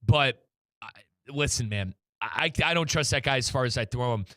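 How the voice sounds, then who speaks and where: A man's voice: medium pitch, expressive, normal speed, fairly bright tone, no echo, studio quality. A man hosting a soccer talk show in a casual, opinionated and emphatic way. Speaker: soccer show host and radio play-by-play commentator.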